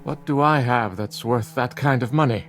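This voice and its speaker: A man's voice: mid-range voice, moderate pace, over music, natural voice. An actor playing a stern, bitter older father in a TV drama, speaking in a formal, dry, cutting manner. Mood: grave, resolute. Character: stern, bitter ailing father, protective of his daughter. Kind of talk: scripted TV drama acting.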